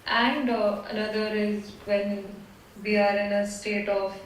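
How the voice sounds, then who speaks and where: A woman's voice: medium pitch, flat delivery, measured pace. A woman student putting a thoughtful question in class, phrasing it carefully and hesitantly. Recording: distant, very echoey, faint noise.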